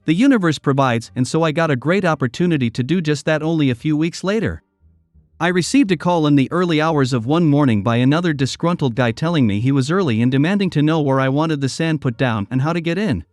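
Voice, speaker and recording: A man narrating reddit stories in a steady, scripted storytelling read. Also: on the high side, no room sound. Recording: dry close sound with soft music behind.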